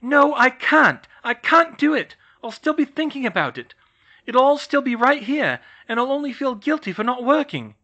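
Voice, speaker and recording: Brief: an overworked young witch, loud, high and strained, swinging wildly in pitch. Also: sing-song, moderate pace, heavily acted. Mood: frantic. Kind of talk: audiobook reading of fan fiction. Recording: slightly muffled recording.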